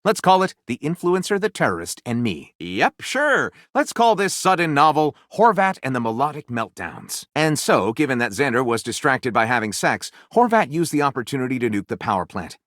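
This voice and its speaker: Game role: flamboyant storyteller, highly animated, grandiose narrator who gushes, recorded dry. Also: male voice, moderate pace, hardly any pauses, no echo. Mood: gushing, delighted. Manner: grandiose narration switching to gushing excitement. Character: flamboyant, theatrical storyteller co-writing lurid pulp novels. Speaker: video game voice actor.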